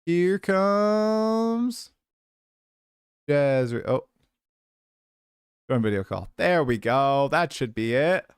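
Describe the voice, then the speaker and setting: A man's voice: on the high side, animated, extremely slow, long pauses, clean tone, dry recording, studio quality. A man in his late twenties hosting a casual livestream, chatty and self-deprecating, coming down with a cold. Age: 20s. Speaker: streamer host.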